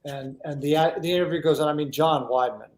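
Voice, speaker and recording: A man's voice: on the high side, brisk, darkish tone. A man hosting a talk show in a casual, heartfelt way, speaking effusively and with emphasis. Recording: roomy, echoey sound.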